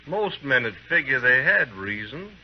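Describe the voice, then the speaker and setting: An actor playing a mocking, cocky, menacing gunslinger in a 1950s radio western. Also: male voice, medium pitch, sing-song, measured pace. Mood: taunting. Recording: muffled, faintly noisy old radio recording.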